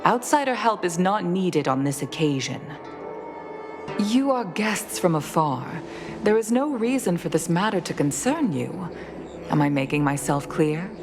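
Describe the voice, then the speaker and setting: An actress voicing a stern, formal middle-aged female commander in a video game, speaking with measured firmness. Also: on the low side, expressive. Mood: formal, firm. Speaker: guild leader in a sci-fi fantasy game. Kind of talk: scripted video game dialogue. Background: background music.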